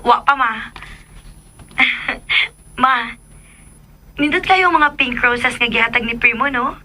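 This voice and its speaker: Very bright, girlish young daughter. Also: on the high side, slow. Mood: cheerful, affectionate. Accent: Filipino. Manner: girlish, affectionate, teasing. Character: sweet, bubbly, lovestruck young daughter. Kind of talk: Philippine radio drama acting.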